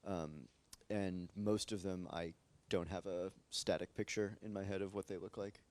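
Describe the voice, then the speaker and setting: An American man speaking as a translator on a panel, relaxed and rambling, with many fillers and restarts. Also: low-pitched, normal speed. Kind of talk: casual panel discussion. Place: library event space with live audience.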